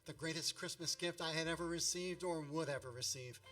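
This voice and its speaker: A man acting as a nostalgic, grandiloquent storyteller in a radio play. His voice is fairly high and bright, wry in delivery, and a little distant from the microphone. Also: brisk. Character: grown man wryly, nostalgically recalling his boyhood Christmas. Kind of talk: scripted radio play narration.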